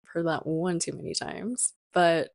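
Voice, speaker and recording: A young woman in her early twenties talks casually and reflectively as a solo podcast host. Her voice sits slightly low and often drops into creak. She rambles conversationally, leaning on filler words, and the sound is close and dry. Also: fairly even intonation, measured pace, no echo. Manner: chatty, rambling, sprinkled with "like" and "you know".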